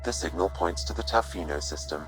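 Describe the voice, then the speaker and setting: An actor playing a calm, precise artificial intelligence in a video game, formal and unemotional. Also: male voice, sits low, a little fast, soft background music. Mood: calm, factual. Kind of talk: video game cutscene acting.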